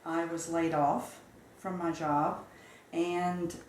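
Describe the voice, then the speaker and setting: A woman in her fifties from South Carolina, recounting her life story in a measured, reflective way during an interview. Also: on the low side, level tone, darkish tone, reverberant, slight background noise. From Charleston, South Carolina. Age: 50s. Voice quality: measured, reflective, with occasional fillers. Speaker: interview guest.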